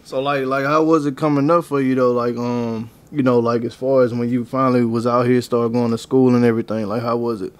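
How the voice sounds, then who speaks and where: A male interviewer asks his guest questions in a loose, slangy style. His voice sits at a medium pitch, and he keeps the conversation casual. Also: a little fast, hardly any pauses. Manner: relaxed, slangy, repeats "man" and "you know what I'm saying".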